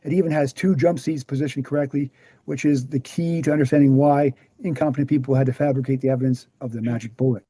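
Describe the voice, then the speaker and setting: A man's voice: medium pitch, fairly even intonation, fast talker, mellow, breathy edge, dry recording, lightly acted. A man reading the part of a confident, didactic explainer in scripted dialogue. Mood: explanatory. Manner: didactic, assured. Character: Gary, confident explanatory man debunking assassination myths. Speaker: actor in a screenplay table read.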